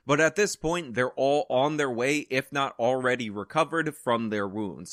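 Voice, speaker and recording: A man's voice: mid-range voice, sharp tone, no room sound, studio quality. A man delivering opinionated news commentary in long, wordy, emphatic sentences. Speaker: political commentary YouTuber.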